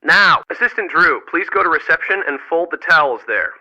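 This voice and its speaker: An actor playing a bossy, irritable and secretly crooked spa manager in a video game, curt and sarcastic. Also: male voice, a little high, lively intonation, brisk, sharp tone, no room sound, exaggerated voice, low-quality recording. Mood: impatient, barking. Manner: curt, sarcastic, barking orders.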